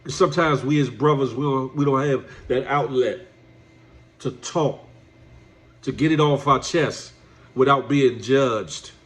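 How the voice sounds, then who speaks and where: Nasal, congested man lecturing slowly. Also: medium pitch, fairly bright tone. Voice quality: nasal, stuffed up. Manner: drawn-out, preachy, addresses the subject as "my brother". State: congested from allergies. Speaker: YouTube commentator. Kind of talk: direct-address commentary vlog.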